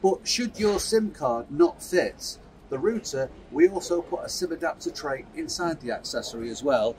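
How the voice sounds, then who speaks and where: A man's voice: lively intonation, normal speed, darkish tone, low-quality recording. A man in his fifties presenting and demonstrating a product kit at a trade show stand, filmed on a close phone camera. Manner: upbeat sales pitch, handling kit while explaining. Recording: trade show floor with clear background noise.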